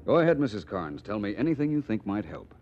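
A man's voice: fairly low pitch, very animated, fast, loud music behind the voice. An actor playing a suave, witty detective in 1940s radio drama, polished and articulate in his delivery. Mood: cool, probing. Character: suave, witty, self-assured male amateur detective. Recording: muffled, noisy old radio recording.

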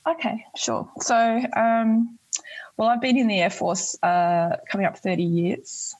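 A woman's voice: a little high, steady tone, measured pace, darkish tone, dry recording, low-quality recording. An Australian woman in her late forties talking conversationally as an interview guest, in a chatty, rambling way. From northwest Tasmania, Australia. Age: late 40s. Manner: chatty, rambling, frequent "you know". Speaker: interview guest, Air Force member. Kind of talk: conversational interview, recounting career.

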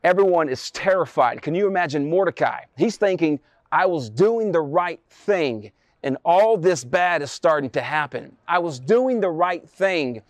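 Guy preaching, kinda high voice, acts out the story, repeats stuff for emphasis. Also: expressive, moderate pace, no room sound. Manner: rhetorical questions and emphatic repetition. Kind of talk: sermon with dramatized retelling of Bible story.

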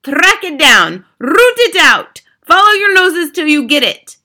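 A woman's voice: sits high, lively intonation, a little slow, pushed and bright, pitched above the performer's own voice, heavily acted. A woman voicing an imperious, venomous head witch in an audiobook, with a commanding, theatrical, shrieking delivery. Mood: furious. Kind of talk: audiobook character acting.

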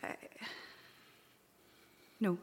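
A woman's voice: drawn-out words, a little mellow. A woman playing a practical, kindly crewmate in a live audio drama, with a careful, slightly hesitant way of talking. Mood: gently consoling. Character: practical, kindly female crewmate logging recordings. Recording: echoey live hall, reverberant.

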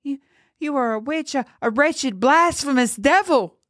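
A woman's voice: mid-range voice, big pitch swings, dry recording, clean studio sound, pitched above the performer's own voice. A woman voicing a terrified old priest. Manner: frantic shouting, pleading, slow.

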